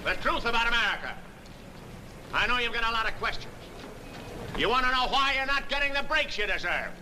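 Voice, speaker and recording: Brief: a fiery soapbox agitator, high piercing voice swinging wildly, 1940s film. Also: male voice, animated, a little fast, a little hiss or hum, heavily acted. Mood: ranting. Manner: shouting, fervent, rabble-rousing. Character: ranting male street demagogue, fiery.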